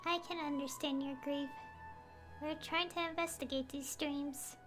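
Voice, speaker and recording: A woman playing a calm, kind, reassuring young traveler in a video game. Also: high-pitched, unhurried, over music. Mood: sympathetic.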